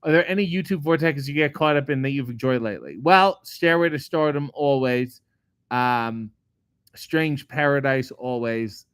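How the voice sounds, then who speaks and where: A middle-aged man, a comedian host, reading viewer comments aloud and answering them in a casual, profane, deadpan way. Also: mid-range voice, moderate pace, clean tone, no room sound, studio quality. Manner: profane, sardonic, deadpan asides. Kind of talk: casual chat answering live chat questions.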